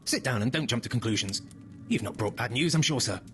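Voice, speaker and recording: A man voicing a stubborn, slow-witted old father with a husky voice in a dramatic horror reading. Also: mid-range voice, lively intonation, fairly bright tone, faint music behind, pitched above the performer's own voice, close to an everyday voice. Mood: worried, dazed. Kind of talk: dramatic horror audiobook acting.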